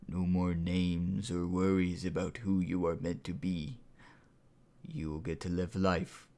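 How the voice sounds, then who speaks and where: A man voicing a calm, menacing would-be king in an audiobook, speaking slowly and softly with deliberate breathy menace. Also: low voice, voice pushed down. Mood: menacing, controlled. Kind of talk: audiobook character voice.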